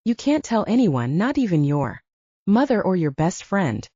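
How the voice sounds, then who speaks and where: A woman reading the lines of a calm, earnest male werewolf alpha in an audiobook. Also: on the low side, normal speed, slightly rough, no echo, muffled recording.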